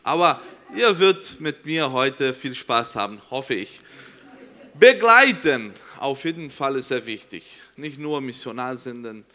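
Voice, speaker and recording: A man's voice: medium pitch, bright, forceful tone. A man from Brazil whose first language is Portuguese, speaking German with a Brazilian accent, presenting earnestly and searching for words at times. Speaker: missionary. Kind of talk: presentation to a congregation.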